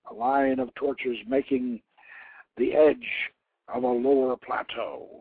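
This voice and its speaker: A man reading a story aloud as a narrator, in a theatrical, solemn manner that moves between hushed tones and swelling emphasis. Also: medium pitch, steady tone, slow pace, husky, no room sound. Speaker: host reading his own novelette. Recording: phone-like internet radio sound.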